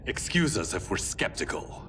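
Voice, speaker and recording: An actor playing a resolute, serious warrior hero in a video game, speaking with command. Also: male voice, sits low, big pitch swings, moderate pace. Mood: accusing. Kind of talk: cinematic video game acting. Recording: very loud music behind the voice.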